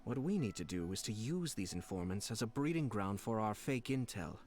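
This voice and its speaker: A man plays a young nobleman in a video game, composed and calculating. The voice sits fairly low, with measured, formal phrasing and polite authority. Light music plays underneath. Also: expressive, natural voice. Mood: composed, commanding. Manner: measured, formal, smooth-talking. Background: soft game music.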